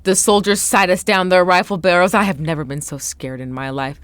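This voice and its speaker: A woman's voice: on the low side, fairly even intonation, fairly quick, fairly bright tone, clean tone, dry recording, lightly acted. Woman reading as a frightened ballplayer telling his story. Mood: tense, dramatic. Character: frightened male baseball player narrating a tense story. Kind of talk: dramatic first-person audiobook reading.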